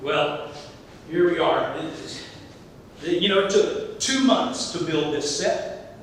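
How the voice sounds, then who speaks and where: A man's voice: animated, slow. A man playing a wry, folksy married handyman in a community theatre comedy, talking dryly. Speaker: amateur stage actor. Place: theatre stage, distant microphone. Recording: echoey hall from far off, rumble.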